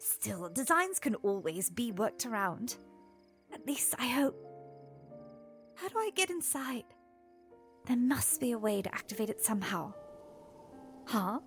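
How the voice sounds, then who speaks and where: A woman's voice: a little high, sing-song, unhurried, pauses often, soft background music. A woman playing a proud, curious young princess in an audio drama, theatrically musing and exclaiming to herself. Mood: disappointed, determined.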